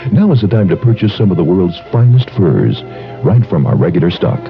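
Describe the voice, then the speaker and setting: Gravelly, low-voiced American ad announcer. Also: male voice, big pitch swings, fairly quick. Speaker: commercial announcer. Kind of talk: scripted 1970s radio advertising. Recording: dull 1970s radio broadcast, music behind.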